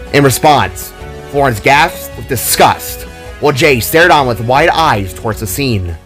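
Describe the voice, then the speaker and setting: A man narrates a fantasy story in a descriptive, measured way. His voice sits at an average pitch for a man. Soft music plays beneath the dramatic storybook reading.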